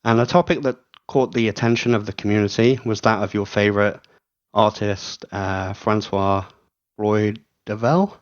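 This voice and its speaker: Male host, lowish voice, casual and chatty, muffled lo-fi sound. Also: steady tone, moderate pace. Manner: casual, many fillers, asks long questions. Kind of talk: interview hosting. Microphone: remote voice chat. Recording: dull lo-fi audio.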